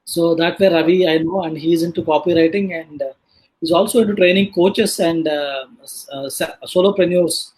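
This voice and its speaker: The slightly high-voiced man opening a webinar, feeling his way through guest introductions with frequent ums and pauses. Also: darkish tone, low-quality recording. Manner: hesitant, halting delivery with many filler sounds. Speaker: host of a live online panel session. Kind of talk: casual live introduction of guests. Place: online live video session.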